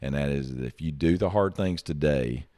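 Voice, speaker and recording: Deep-voiced enthusiastic male podcast host. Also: normal speed, mellow. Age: 40s. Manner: enthusiastic, repeats words as he talks. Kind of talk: casual podcast chat.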